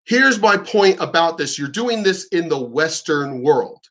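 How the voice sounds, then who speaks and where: A man with a high, bright voice rants in a combative, mocking way, swearing freely. He sounds pointed and sharp as he tears into what he is reacting to. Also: animated, measured pace. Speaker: reaction commentator. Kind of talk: ranting reaction commentary.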